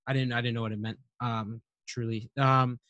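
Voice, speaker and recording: A man's voice: medium pitch, flat delivery, normal speed, fairly bright tone, no echo, dull audio. Young man, chatty friendly interviewer. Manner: friendly, rambling questions, says "dude" and "man". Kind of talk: casual interview.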